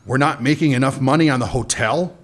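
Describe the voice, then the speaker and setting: A man's voice: medium pitch, a little fast, a bit bright, natural voice. An actor playing a cocky, scheming male smuggler boss with a sardonic, wisecracking delivery in a comic radio play. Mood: wry.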